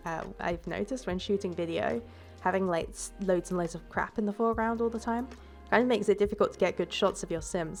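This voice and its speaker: Woman narrating her build video, a bit congested, with a gravelly edge and an easygoing, rambling delivery. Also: mid-range voice, darkish tone. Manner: casual and rambling, with fillers and self-corrections. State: stuffy from a cold. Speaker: game builder and YouTuber. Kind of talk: relaxed voice-over commentary on a building video.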